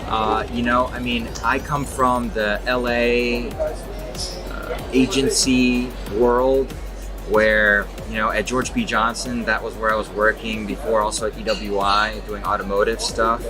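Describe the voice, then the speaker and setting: Man in his forties, California-raised, relaxed and halting, trade show floor, music behind. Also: lowish voice. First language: Czech. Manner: relaxed, lots of fillers and restarts. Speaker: interviewee, exhibit designer. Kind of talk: casual interview answers. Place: exhibition hall at a trade show.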